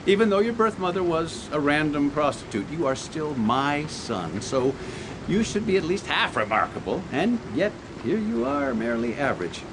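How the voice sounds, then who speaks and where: Comic radio play: grandiose inventor father, blunt and condescending, very lively intonation, noisy background. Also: a little high, normal speed. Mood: scornful. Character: pompous, teasing, visionary inventor father. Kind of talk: comic radio theatre acting.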